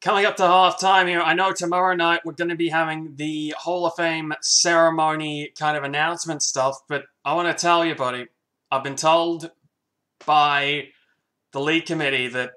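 A man calling a video game football match as play-by-play commentator, building to shouts at big plays. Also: on the high side, moderate pace. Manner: excitable, calls plays and shouts at big moments.

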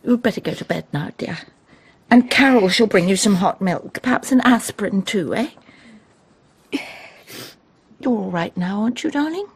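A woman's voice: medium pitch, lively intonation, moderate pace, long pauses, slightly rough. An actress playing a proud, fond, domineering middle-aged mother in a period radio play, with a grand, fussing manner.

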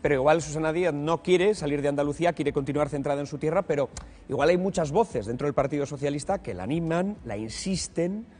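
A man, putting brisk political questions as a television host. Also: a little high, a little mellow. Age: 30s. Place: television studio.

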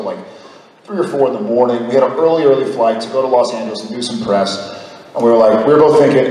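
A man's voice: mid-range voice, a little hiss or hum. Man telling a story in an echoey hall. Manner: rambling, animated anecdote with laughter. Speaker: convention panelist. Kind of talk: storytelling on a convention stage. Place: large convention hall with a crowd. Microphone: handheld mic, recorded by a far camera. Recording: echoey hall recorded from far off.

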